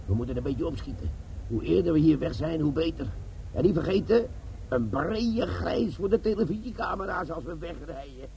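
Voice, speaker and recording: Cocky army bomb-disposal sergeant, darkly joking, animated delivery, comic radio drama, some background noise. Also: male voice, a little high, moderate pace, gravelly, low-quality recording. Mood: brisk, commanding. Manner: chatty, teasing, darkly joking. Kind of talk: Dutch comic crime radio drama acting.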